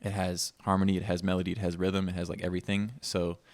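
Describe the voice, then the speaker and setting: Deep-voiced young man, relaxed and thoughtful. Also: fast, no room sound. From Minnesota, USA. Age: 20s. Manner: relaxed, thoughtful, trailing fillers. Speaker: pianist guest. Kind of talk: casual conversational interview.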